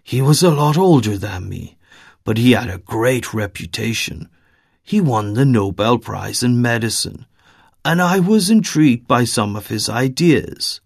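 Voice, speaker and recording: A man voicing a polite young man who has lost his memory, speaking gently and pleadingly. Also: mid-range voice, lively intonation, a little slow, vocal fry, slightly rough, dry recording, clean studio sound, full character voice. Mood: reflective.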